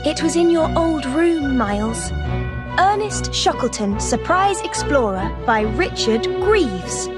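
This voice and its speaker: A woman playing a poised, confident young British detective in a game, explaining in measured, formal sentences. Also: high voice, very animated, exaggerated voice. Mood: pointed, accusing. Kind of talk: scripted game voice acting. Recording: loud music under the voice.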